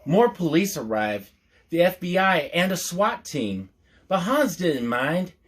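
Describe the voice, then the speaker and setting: Slow, playful man reading a story aloud. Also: sits high, sing-song. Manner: playful, folksy, addresses listeners as y'all. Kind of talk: storytime reading with casual asides to listeners.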